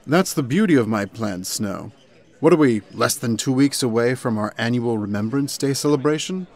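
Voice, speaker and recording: Vain smooth-talking prince male actor: suave, self-satisfied, mid-pitched, comic dub. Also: expressive, moderate pace, darkish tone, natural voice. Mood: smug, persuasive. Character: smug, scheming charming prince, vain smooth talker.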